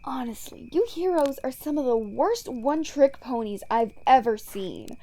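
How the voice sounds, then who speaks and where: A woman's voice: high-pitched, expressive, dark tone. A woman playing a taunting, seductive supervillain in an ASMR roleplay monologue, mocking and theatrical. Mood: scornful. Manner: mocking, teasing, theatrical, unhurried, breaking into whispers. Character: taunting female supervillain, smug, seductive, bitter toward heroes.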